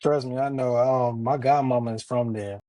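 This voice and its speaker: A man's voice: medium pitch, fairly even intonation, moderate pace, dark tone, no echo. A man hosting a casual interview podcast, talking in a laid-back, slangy, hyped-up way. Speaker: podcast host.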